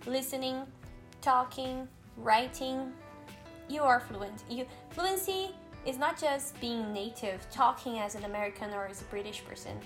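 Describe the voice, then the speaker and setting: A woman's voice: sits high, lively intonation, a little slow, a bit bright, soft background music. A Brazilian woman, a native Portuguese speaker, chatting casually in English with a Brazilian accent, earnest and reassuring, with frequent fillers and restarts. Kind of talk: casual conversation.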